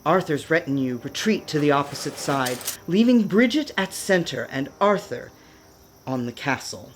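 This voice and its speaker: A woman's voice: sits low, lively intonation, normal speed, slight background noise. A woman narrating a scripted fantasy radio play with a dramatic storytelling manner.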